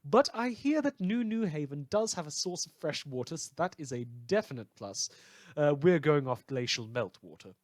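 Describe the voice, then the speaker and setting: A man's voice: fairly high pitch, sing-song, normal speed, no echo, studio quality. A man playing a sardonic villain who hosts a post-apocalyptic survivalist radio show, with a theatrical, sarcastic delivery. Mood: wry, scornful. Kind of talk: fiction podcast as in-character radio monologue.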